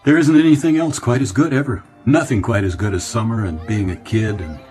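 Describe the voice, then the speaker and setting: An actor playing a wistful, earnest man haunted by his childhood in a radio play, halting when agitated. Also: lowish voice, over music, close to an everyday voice. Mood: wistful, nostalgic. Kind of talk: dramatic radio play acting.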